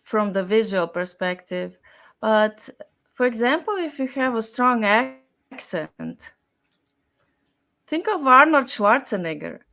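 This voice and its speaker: A woman speaking as an expert guest in an interview, explaining her points deliberately in short, emphatic phrases. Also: on the high side, very animated, bright, forceful tone. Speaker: style and communication expert guest.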